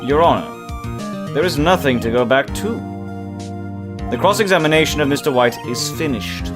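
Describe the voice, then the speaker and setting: A man's voice: a little high, lively intonation, moderate pace, close to an everyday voice. A man playing a haughty, composed prosecutor who speaks formally and smugly in a courtroom game fandub. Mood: coolly dismissive. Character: haughty, composed male prosecutor, coolly smug. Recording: music clearly behind the voice.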